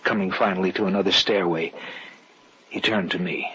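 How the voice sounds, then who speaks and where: An actor with an American accent playing a stubborn, sceptical husband who also narrates an old radio horror drama. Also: male voice, sits low, fairly even intonation, moderate pace, bright, forceful tone. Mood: narrating gravely. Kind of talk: 1940s-50s radio drama acting and narration. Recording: dull hissy old radio recording.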